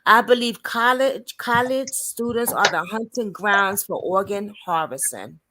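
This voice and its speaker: A woman's voice: mid-range voice, measured pace, background noise. A woman hosting an informal online discussion, talking casually as she thinks things through. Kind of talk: casual chat.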